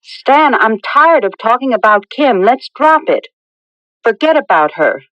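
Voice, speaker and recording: An actress playing an impatient, skeptical young fiancée in a radio drama, firm and dismissive. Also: female voice, fairly high pitch, big pitch swings, measured pace, no room sound. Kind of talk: 1980s radio drama acting. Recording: dull lo-fi radio broadcast recording.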